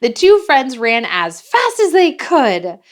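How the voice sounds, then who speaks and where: A woman reading a picture book aloud for children in an expressive way, pausing to put questions to young listeners. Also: high voice. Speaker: children's read-along host. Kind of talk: animated picture-book storytelling.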